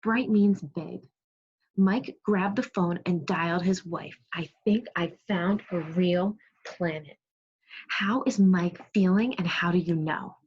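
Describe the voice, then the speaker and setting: Woman primary teacher, slightly husky, expressive read-aloud for kids, muffled video-class sound. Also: fairly low pitch, slow pace. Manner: expressive storybook reading, praising and prompting pupils. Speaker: second grade teacher. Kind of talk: read-aloud lesson to young children. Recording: muffled, lo-fi online class audio.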